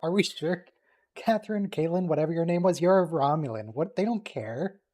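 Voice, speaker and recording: A man's voice: fairly high pitch, normal speed, darkish tone. A man hosting a casual watch-along stream, tossing joking asides at the screen. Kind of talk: casual chat over a movie.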